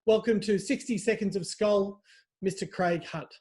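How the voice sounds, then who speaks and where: A man hosting a quick-fire comic interview in a teasing, mock-formal, playful way. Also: high-pitched, a little mellow. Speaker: interview host.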